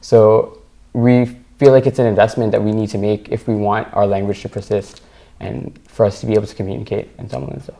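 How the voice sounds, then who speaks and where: A young male student speaking as a panel guest, earnest and steady, with filler words as he goes. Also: lowish voice, fairly quick, gravelly. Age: young adult. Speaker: student association member. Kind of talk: panel discussion.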